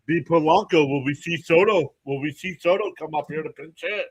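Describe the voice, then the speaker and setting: A man's voice: fairly high pitch, brisk, husky. A man hosting a live baseball stream, mixing clipped play-by-play calls with informal fan asides and chat to viewers. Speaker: livestream play-by-play host and fan.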